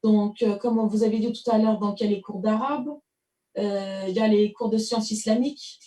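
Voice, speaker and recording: A woman's voice: mid-range voice, steady tone, normal speed, mellow. A woman hosting an interview, speaking in a chatty, hesitant way full of fillers. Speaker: TV show host. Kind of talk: casual interview conversation. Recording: roomy, distant mic.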